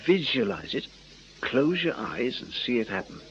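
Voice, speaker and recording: A man's voice: medium pitch, big pitch swings, slight background noise, lightly acted. An actor playing a canny former music-hall memory man, coaxing and slyly patient, in a radio crime drama. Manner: coaxing, teasing, deliberate. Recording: muffled radio drama recording.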